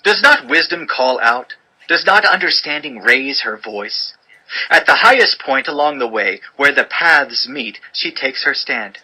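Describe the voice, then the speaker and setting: A man's voice: mid-range voice, animated, measured pace, sharp tone, low-quality recording. A man narrating a dramatized scripture reading in a solemn, emphatic manner.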